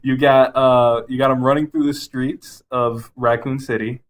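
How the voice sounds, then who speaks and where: A man's voice: mid-range voice, flat delivery, measured pace. A man co-hosting a podcast in casual chat about movies, often chuckling as he talks. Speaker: podcast co-host.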